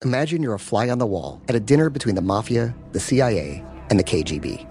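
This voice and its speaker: A man's voice: mid-range voice, animated, fast, dark tone. A man hosting, reading a scripted podcast promo. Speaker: podcast host. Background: music.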